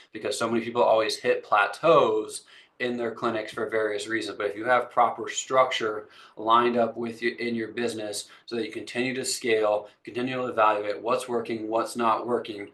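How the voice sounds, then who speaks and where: A man's voice: fairly low pitch, little pitch movement, moderate pace, fairly bright tone. A man hosting a webinar, introducing his guest with enthusiasm and a lot of repetition, speaking over a video call. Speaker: webinar host.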